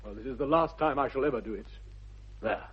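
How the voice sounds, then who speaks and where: A man playing an exasperated, stuffy upper-class English gentleman, clipped and indignant, in a radio comedy. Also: mid-range voice, fast, a little hiss or hum, close to an everyday voice. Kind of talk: 1960s radio comedy of manners acting. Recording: dull 1960s radio recording.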